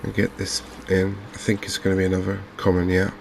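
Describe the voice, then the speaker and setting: A man with an English accent giving gentle, unhurried, softly spoken ASMR commentary on a video game. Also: deep voice, monotone, vocal fry, a little hiss or hum. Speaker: gaming video host. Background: fishing game ambience.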